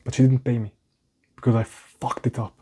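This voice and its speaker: A young man speaks English with a clear foreign accent in a mellow, relaxed voice. He rambles candidly to the camera and pokes fun at himself. It is a casual vlog from a small room at home. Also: mid-range voice, normal speed. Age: young adult. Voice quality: non-native English accent. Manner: rambling, self-mocking, restarts often. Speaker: vlogger.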